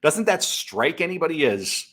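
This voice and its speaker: A man in casual podcast conversation, riffing in an animated way with emphatic repetitions. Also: fairly high pitch, moderate pace. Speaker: playwright guest. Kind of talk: casual conspiracy chat.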